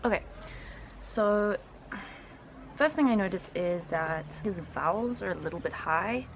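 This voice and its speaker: A woman's voice: medium pitch, animated, measured pace, background noise. A woman in her twenties with a South African accent, explaining informally to camera and sounding out vowels as examples. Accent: South African English. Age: 20s. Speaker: linguistics student presenting a reaction video. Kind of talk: casual explanatory talk to camera.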